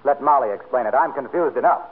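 An actor playing a friendly, bemused pal in a 1940s radio comedy. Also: male voice, medium pitch, fast talker, phone-quality sound, natural voice. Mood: bemused, jovial. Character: friendly, bemused pal who joins the banter. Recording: thin, noisy 1940s radio broadcast.

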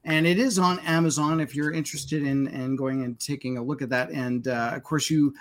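A man's voice: mid-range voice, steady tone, a little fast. A man hosting a live webinar, introducing guests in a loose, friendly way with fillers. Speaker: webinar host.

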